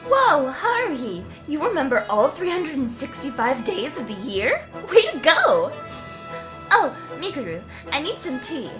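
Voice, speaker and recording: A woman's voice: high voice, big pitch swings, unhurried. A woman playing a whooping, hyper teenage girl. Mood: exuberant. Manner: exuberant, whooping. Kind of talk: fan audio drama acting. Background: background music.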